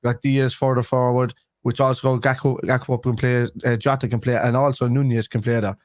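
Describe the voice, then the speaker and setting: A man's voice: mid-range voice, level tone, brisk, dry recording. A man with a Liverpool accent, co-hosting a football fan live stream, talking casually and rambling with restarts a day after having a tooth pulled. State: speaking after a tooth extraction.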